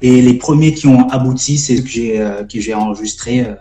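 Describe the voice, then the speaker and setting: Gospel rapper in his twenties from French Guiana, mellow tone, echoey room, halting delivery. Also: mid-range voice, faint music behind. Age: 20s. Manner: halting, many fillers and restarts. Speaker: gospel rap artist guest. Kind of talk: casual live-stream interview.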